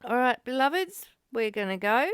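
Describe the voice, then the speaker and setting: A woman's voice: fairly high pitch, lively intonation, normal speed, a bit bright, clear, resonant tone, no echo, clean studio sound. A woman hosting an informal spiritual study talk, speaking earnestly and posing rhetorical questions. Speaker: host of a spiritual study session. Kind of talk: informal spiritual teaching and discussion.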